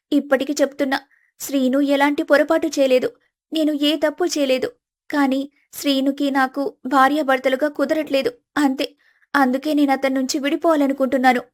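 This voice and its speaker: Woman as a high-voiced, resolute young wife. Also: natural voice. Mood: gentle, resolute. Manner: measured, polite, resolute. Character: calm, firm, independent young married woman.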